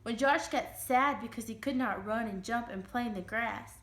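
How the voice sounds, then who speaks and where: A woman giving an expressive dramatic reading of a children's storybook. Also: on the high side, normal speed. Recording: roomy, echoing room sound.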